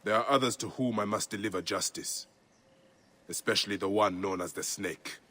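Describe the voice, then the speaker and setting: A grim low-voiced warrior. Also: male voice, bright, forceful tone, slight background noise. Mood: resolute. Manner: earnest, measured, resolute. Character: grim male warrior seeking justice. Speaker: hero of the game.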